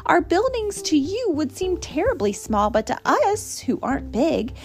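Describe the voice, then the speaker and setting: A woman's voice: sits high, sing-song, unhurried, a little mellow, heavily acted. A woman voicing a tiny, earnest male mayor in a small piping storybook voice with a sing-song rhyming delivery. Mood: eager, proud. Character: tiny earnest male mayor of a speck-sized town, grateful and polite.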